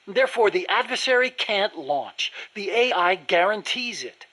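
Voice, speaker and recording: A man's voice: sits high, expressive, moderate pace, bright, forceful tone, slightly rough, heavily acted. A man playing an earnest, nerdy American weapons engineer in a video game, eager to explain things in precise detail. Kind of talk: video game dialogue acting.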